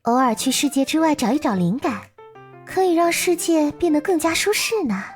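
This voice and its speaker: A woman voicing a gentle, playful young girl companion in a surreal game, speaking softly and unhurriedly with a caring, teasing manner. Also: sits high, expressive, brisk, faint music behind. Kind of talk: visual novel game voice acting.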